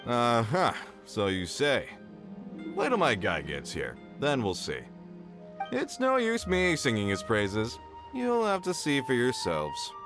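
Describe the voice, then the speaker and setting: A hearty, boastful middle-aged uncle from a video game. His mid-pitched voice leaps dramatically up and down with emphatic expression, over light music. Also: huge pitch swings, slow. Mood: smug. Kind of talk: animated video game voice acting.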